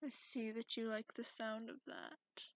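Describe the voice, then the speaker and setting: A woman voicing a cranky, sarcastic, sleepy dragon in a breathy, half-whispered ASMR roleplay, drawling haughtily. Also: fairly high pitch, little pitch movement, a little slow, no room sound. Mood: grudgingly indulgent. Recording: muffled lo-fi close recording.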